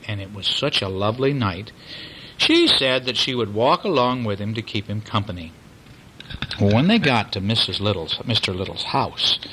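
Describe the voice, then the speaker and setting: Man, bedtime storyteller, bright tone, expressive, explanatory, chatty with a child, faint background noise. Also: medium pitch, poor audio. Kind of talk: bedtime story reading aloud, with chatty asides.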